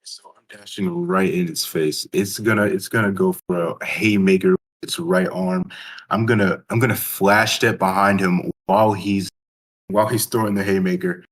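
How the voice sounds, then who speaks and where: A man playing a tabletop game, improvising action in a halting way and repeating phrases as he builds the scene. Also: low voice, steady tone.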